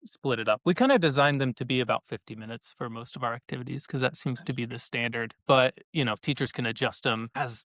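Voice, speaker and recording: A man's voice: mid-range voice, fast talker, hardly any pauses, a bit bright, dry recording. A man answering as a podcast guest in a conversational way, often acting out little quotes as he explains. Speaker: podcast guest, educational activity creator.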